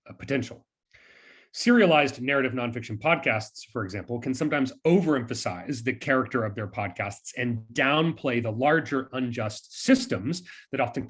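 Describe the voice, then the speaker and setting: Male researcher reading a conference paper, raspy-ish voice, pitch moves around a lot, formal. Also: fairly bright tone. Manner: formal, scholarly wording. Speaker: academic researcher presenting a paper. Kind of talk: scripted research presentation.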